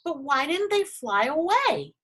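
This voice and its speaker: A woman voicing a worried, earnest young male baby dragon in a children's storybook, with anxious, drawn-out exclamations. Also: sits high, very animated, a bit bright, voice pushed up, heavily acted. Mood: horrified, anxious.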